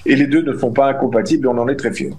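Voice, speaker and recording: A man's voice: mid-range voice, fairly even intonation, rapid-fire, darkish tone, slight background noise. A middle-aged man answering interview questions as a guest, emphatic and indignant, often restarting his phrases. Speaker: guest, community representative.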